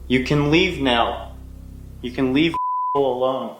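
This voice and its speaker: A man's voice: medium pitch, takes it slowly, noisy background. Tense man investigating a haunting, roomy echo. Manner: tense, urgent, addresses the spirit directly. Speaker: paranormal investigator. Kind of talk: ghost-hunting commentary. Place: dark house at night. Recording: roomy echo, faint ambience.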